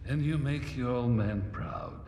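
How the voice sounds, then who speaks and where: An actor playing a loving, proud old Soviet father in a game cutscene, solemn and gravelly. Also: medium pitch, measured pace, very noisy, natural voice. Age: older. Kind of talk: video game cutscene acting.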